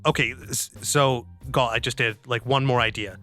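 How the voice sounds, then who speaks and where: A man with a bright, forward voice plays a tabletop roleplaying game, chatting casually about what his character does. Soft music runs underneath. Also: medium pitch, steady tone, normal speed. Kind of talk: casual chat, describing his character's actions.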